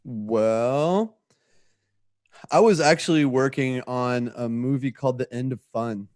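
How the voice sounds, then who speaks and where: A man's voice: mid-range voice, slow, darkish tone, dry recording, studio quality. A man speaking as a guest writer in an interview, telling a story in a rambling, conversational way.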